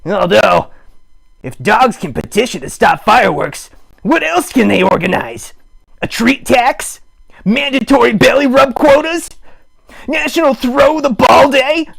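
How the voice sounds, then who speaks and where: A man voicing a silly, excitable puppet schemer in an improvised puppet show, with a raspy, gravelly voice and animated, mock-serious delivery. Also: very high voice, measured pace, heavily acted. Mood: playful.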